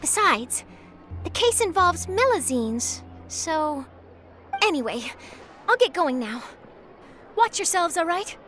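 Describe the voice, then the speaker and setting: A woman's voice: very high voice, very animated, takes it slowly, long pauses, a bit bright, faint music behind, heavily acted. A woman voicing an eager, chatty young female journalist in a video game, breezy and persuasive. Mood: animated, confiding. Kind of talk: video game character dialogue.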